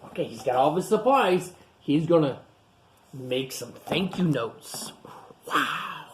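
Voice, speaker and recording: An animated reader of picture books for young children, with a mid-pitched voice that moves up and down a lot. The reading is broken up with simple explanatory asides to the listeners. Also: takes it slowly, slight background noise. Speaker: storytime reader.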